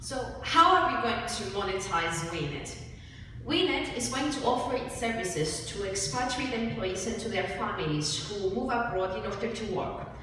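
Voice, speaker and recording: A young woman from Cyprus giving a rehearsed student pitch, recorded at a distance in a large hall. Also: expressive, normal speed, pushed and bright. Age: young adult. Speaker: student presenter. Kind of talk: rehearsed group pitch presentation. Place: large hall with seated audience. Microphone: far audience camera. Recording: echoey hall from far off, faint noise.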